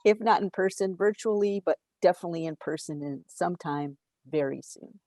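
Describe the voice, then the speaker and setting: A woman's voice: lowish voice, a little fast, warm tone, dry recording. Effusive woman hosting a video-call talkback. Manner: effusive, reads audience questions aloud. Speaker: library program host and moderator. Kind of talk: moderating a virtual talkback.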